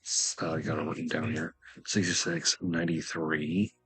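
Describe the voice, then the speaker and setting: A man giving casual gameplay commentary as a video host, thinking aloud in a halting mutter to himself. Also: rumbling low, little pitch movement, a bit bright, husky, poor audio.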